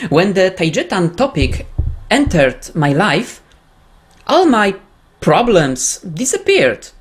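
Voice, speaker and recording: Woman lecturer, low and very slow, lively melody, hesitant delivery with fillers. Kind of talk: personal testimony.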